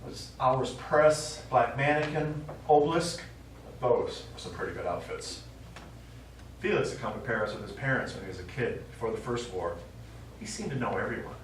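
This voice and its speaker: An actor playing a stubborn old American war veteran and father, telling his memories haltingly in a stage play. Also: mid-range voice, lively intonation, lightly acted. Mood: wistful reminiscing. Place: small theater stage, recorded from the audience. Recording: distant, very echoey theater recording, faint noise.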